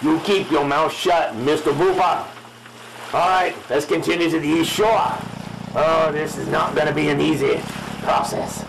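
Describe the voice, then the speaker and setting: Man playing a high-pitched crusty old fishing skipper. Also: steady tone, unhurried, creaky, background noise. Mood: scornful. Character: crusty old fisherman skipper, gruff, bossy, scornful. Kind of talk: amateur radio drama spoof acting.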